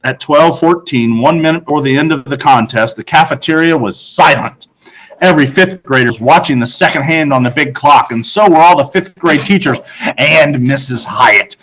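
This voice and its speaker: A man with a fairly high voice narrates a children's book. His reading is expressive and dramatic, and he sets off phrases with deliberate pauses. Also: breathy edge, poor audio. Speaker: audiobook narrator.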